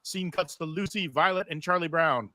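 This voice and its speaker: A man's voice: fairly high pitch, moderate pace, bright, forceful tone, no room sound. A man reading stage directions as narrator at a live scripted table read.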